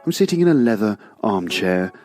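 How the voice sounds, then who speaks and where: A man, a podcast host talking with dry, deadpan humor. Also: on the low side, fairly quick. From England. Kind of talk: casual podcast talk, deadpan joking.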